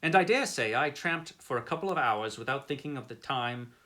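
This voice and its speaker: Bright-voiced man reading melodramatically. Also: mid-range voice, lively intonation. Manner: dramatic, slow, with sweeping rises and drops. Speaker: reader of a classic story.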